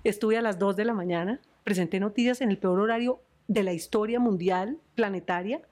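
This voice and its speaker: A middle-aged woman with a Colombian accent, a veteran news presenter. She talks in an animated, storytelling way and laughs often, chatting easily with her interviewer. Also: medium pitch, brisk. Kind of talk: podcast interview.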